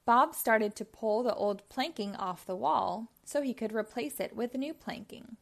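A woman reading a story aloud for young children in a deliberate storytelling style. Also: fairly high pitch, gravelly.

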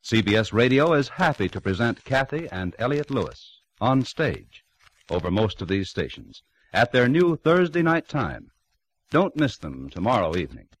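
A man's voice: medium pitch, big pitch swings, moderate pace, poor audio. A man announcing on 1950s network radio, reading scripted credits and promos in a formal, polished manner.

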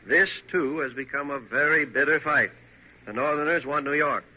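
Man playing a slightly husky, earnest political adviser. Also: a little high, lightly acted. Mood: earnest, scheming. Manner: earnest, measured, formal. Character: earnest, deferential, shrewd male political adviser to the president. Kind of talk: old-time radio drama acting. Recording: dull vintage radio recording.

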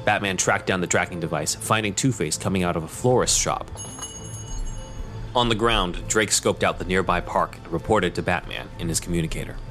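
A man narrating an audio drama in a steady storytelling delivery. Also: deep voice, moderate pace, faint music behind.

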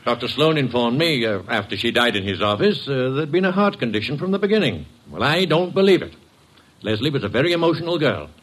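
An actor playing a distraught, suspicious widowed husband in a classic radio drama, insistent and agitated. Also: male voice, mid-range voice, animated, brisk, a bit bright. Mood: troubled.

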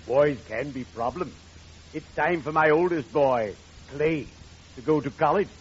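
An actor playing a morbidly genial undertaker in an old radio comedy, solemn, formal and punning. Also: male voice, on the high side, slightly rough. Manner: formal, grandly deliberate, punning. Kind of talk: 1940s radio comedy acting. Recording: dull, noisy old radio recording.

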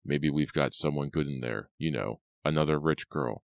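A nasty, impatient kidnapper growls in a thriller audiobook, voiced by a man. The voice is extremely deep and close, with curt, suspicious delivery. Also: moderate pace, creaky, dry recording. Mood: menacing.

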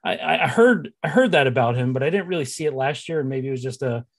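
Man casually chatting sports, medium pitch. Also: expressive, quick pace, vocal fry. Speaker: sports podcast host. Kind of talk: casual fan sports chat.

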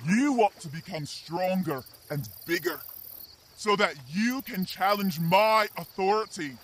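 A man's voice: high-pitched, animated, measured pace, fairly bright tone, slight background noise. A man playing a giant talking sea lion in a dramatic fantasy audio story. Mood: stern, commanding. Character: giant sea lion creatures, stern elder and pleading underling. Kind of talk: dramatic audio fiction acting.

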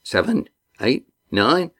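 A man's voice: mid-range voice, very animated, slow pace, fairly bright tone, husky, voice pushed up, full character voice. A man voicing an eager young boat crewmate with a chirpy manner. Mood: cheerful.

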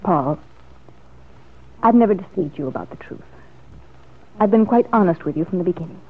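An actress playing a determined, sharp-tongued woman in a classic radio soap opera, with a haughty, sarcastic manner. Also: on the low side, lively intonation, normal speed, slightly rough. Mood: insistent. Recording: muffled lo-fi vintage radio recording.

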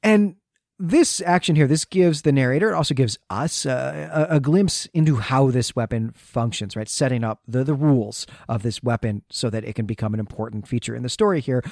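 A man's voice: medium pitch, brisk, creaky, darkish tone, no echo, studio quality. A man hosting a podcast, giving an analytical, conversational recap of a story and repeating words as he thinks aloud. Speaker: podcast host. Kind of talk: conversational literary recap, partly reading quotes aloud.

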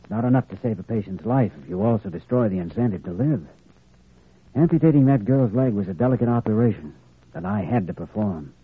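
An actor playing a determined, compassionate young doctor, firm and earnest, in 1940s radio drama style. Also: male voice, fairly low pitch, animated, a little fast, slightly rough, no echo. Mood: earnest, troubled. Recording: vintage radio recording, narrow band.

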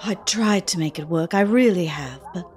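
A woman's voice: fairly low pitch, moderate pace, slight background noise, lightly acted. A woman playing a wry, theatrical, unhappily married American in an audio drama. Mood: miserable, earnest.

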